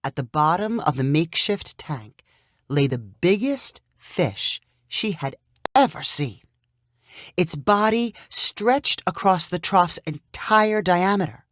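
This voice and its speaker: Expressive, lower-pitched woman storyteller. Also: slow pace, no echo, clean studio sound. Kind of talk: scripted short-story narration.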